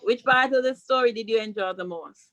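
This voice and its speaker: A woman's voice: a little high, a little fast, a bit bright, muffled recording. A woman, a school principal leading a question-and-answer chat with children, prompting them and repeating questions and answers back.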